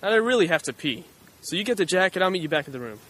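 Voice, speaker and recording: Guy acting a mocking teen buddy, quick and slangy, slightly high voice, faint noise. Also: expressive, fairly bright tone. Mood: teasing. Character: flippant, teasing teenage buddy on prom night. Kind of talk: audio drama acting.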